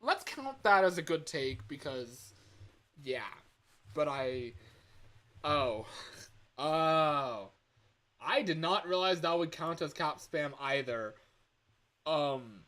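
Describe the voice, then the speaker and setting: A man chatting casually on a livestream in an excitable, expressive manner. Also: a little high, takes it slowly, pauses often. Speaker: livestreamer and hobby voice actor.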